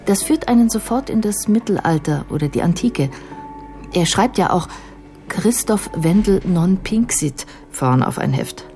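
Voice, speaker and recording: An actress playing a composed female care professional in a radio drama, explaining a patient's case in a measured, analytical way. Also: lowish voice, animated, warm tone, faint music behind. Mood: calm, matter-of-fact.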